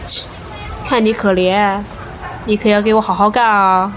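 A woman's voice: mid-range voice, level tone, normal speed, slight background noise, natural voice. A woman playing a curt, grudging shop boss in an audio drama, brusque and dismissive. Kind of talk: Chinese audio drama acting.

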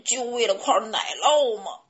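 A woman voicing a greedy, cheeky young chick with a drawn-out, mumbling way of talking. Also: a little high, expressive, highs cut off. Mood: disbelieving.

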